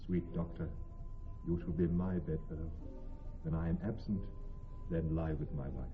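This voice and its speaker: A man's voice: a bass voice, fairly even intonation, moderate pace, music in the background. A man playing an ardent, contrite young noble husband in classical Shakespeare radio drama, courtly and theatrical. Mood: fond. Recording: dull, lo-fi old radio recording.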